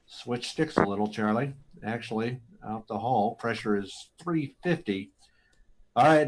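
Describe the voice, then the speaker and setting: A man playing a daring, steady test pilot given to excitement, in radio drama style. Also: medium pitch, natural voice.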